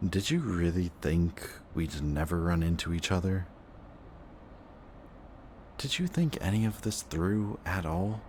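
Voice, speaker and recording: Guy acting a hurt protective villain type antihero, really low voice, halting and intimate audio rp, noisy background. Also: animated, darkish tone. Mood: hurt, reproachful. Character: wounded, protective male antihero insisting he is a villain. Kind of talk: emotional audio roleplay acting, addressing the listener.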